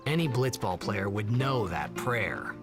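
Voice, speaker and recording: A man's voice: on the low side, lively intonation, a little slow. A man voicing a cocky, eager teenage sports star in a video game, brash and emphatic. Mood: reflective narration. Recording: game audio with music over it.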